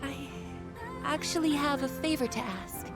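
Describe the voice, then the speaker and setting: A woman voicing a gentle, earnest young female android in a video game, speaking in a soft, halting, polite way. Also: high-pitched, expressive. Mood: hesitant, earnest. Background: loud game music.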